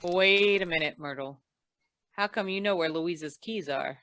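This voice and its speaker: A woman's voice: on the low side, moderate pace, a bit bright, no echo, natural voice. A woman playing a hard-boiled, wisecracking insurance investigator in an old-time radio noir, with a dry, clipped delivery. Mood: commanding, wary.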